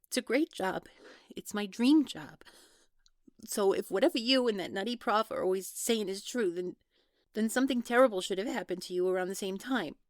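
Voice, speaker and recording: A woman's voice: medium pitch, no room sound, natural voice. Woman acting guarded, hesitant young woman, dry close sound. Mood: agitated, defensive. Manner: hesitant, halting, trailing off, nervous laughs. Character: guarded young woman, defensive, awkward, newly in love. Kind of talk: naturalistic one-sided audio roleplay acting.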